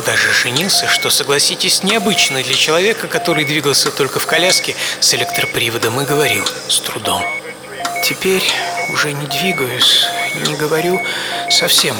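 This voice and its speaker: A man's voice: mid-range voice, lively intonation, moderate pace, over loud music, natural voice. A man playing a witty, ironic English physicist reflecting on his life in a theatrical radio monologue. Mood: wry, reflective. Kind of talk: dramatised first-person monologue, radio play.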